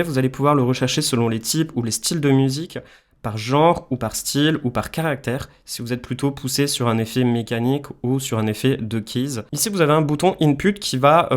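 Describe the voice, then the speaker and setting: A man explaining music software step by step in a casual tutorial style. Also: medium pitch. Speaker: music production tutorial presenter.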